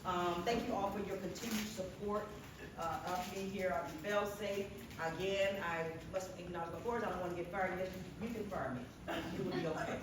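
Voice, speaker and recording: A woman's voice: fairly low pitch, fairly even intonation, fairly quick. An older woman introducing a conference panel in a chatty, joking way, often laughing. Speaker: organization leader introducing the panel. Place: large conference room. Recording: echoey hall, distant mic, loud background noise.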